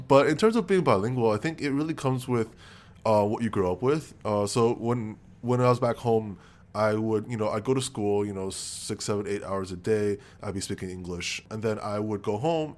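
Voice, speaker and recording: Man, 20s, low-pitched, relaxed, filler-heavy interview talk. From Taiwan. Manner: relaxed, filler-heavy, lots of "like" and "you know". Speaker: guest, co-founder of a Taiwanese American group. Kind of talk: casual interview answers.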